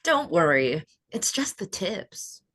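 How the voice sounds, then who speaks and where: A woman playing a vengeful, menacing beauty queen with a husky voice, at a screenplay table read. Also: lowish voice, very animated, slow pace, a bit bright, heavily acted. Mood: coldly threatening.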